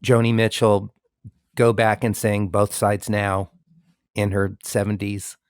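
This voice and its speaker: A man's voice: fairly low pitch, little pitch movement, takes it slowly, no room sound, clean studio sound. A man hosting an interview, asking long, friendly, rambling questions with many fillers. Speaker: interview host, musician. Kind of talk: conversational interview.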